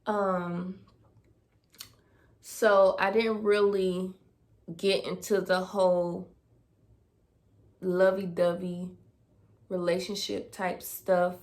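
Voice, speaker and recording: Slow-talking young woman vlogger. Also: medium pitch. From Dayton, Ohio, US. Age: 20s. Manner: relaxed, thinks aloud with fillers. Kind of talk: casual Q&A tag video.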